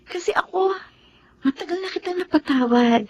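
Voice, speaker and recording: A woman's voice: very high-pitched, animated, moderate pace, muffled recording. A woman with a Filipino accent playing a forgiving, composed ex-girlfriend and mother in a TV drama. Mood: forgiving, earnest.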